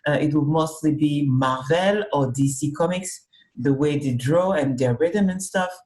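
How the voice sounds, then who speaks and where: A woman from Cameroon, a comics artist and festival organizer, answering interview questions over a video call, unhurried, often rephrasing as she thinks. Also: low-pitched, a little mellow, poor audio. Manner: speaks slowly and searchingly, with fillers and rephrasing. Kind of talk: remote interview, thoughtful casual answers.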